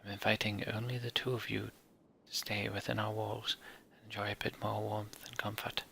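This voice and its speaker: Male reader as composed female healer-envoy in fantasy game; formal, guarded, crisp forceful edge with some creak. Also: on the low side, flat delivery, a little hiss or hum. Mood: cool, firm. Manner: measured, formal. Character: composed, cautious woman mender, a magic-wielding town envoy.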